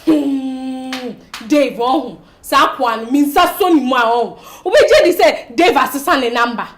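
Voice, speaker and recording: Wanita memerankan kekasih yang dikhianati, mengeluh, bising samar. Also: female voice, high voice, measured pace, slight background noise. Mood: bitter. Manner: agitated, complaining. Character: distressed young woman, jilted by lover.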